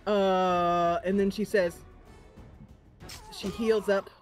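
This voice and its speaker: Female gaming host, mid-pitched, chatty and reactive, thinking aloud over faint game music. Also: fairly even intonation, extremely slow. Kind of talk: casual let's play commentary.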